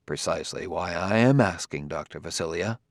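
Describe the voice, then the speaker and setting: Man playing a cautious gravelly middle-aged detective. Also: deep voice, brisk, dry recording, clean studio sound, pitched below the performer's own voice. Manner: quiet, cautious, probing. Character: patient middle-aged male police detective from Earth.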